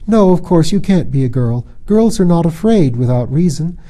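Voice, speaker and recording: An innocent young storybook girl, as a male reader plays her, gentle and full of wondering questions. The pitch is lifted and the tone stays very soft and dark, with a comforting manner. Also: animated, normal speed, close to an everyday voice. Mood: realizing.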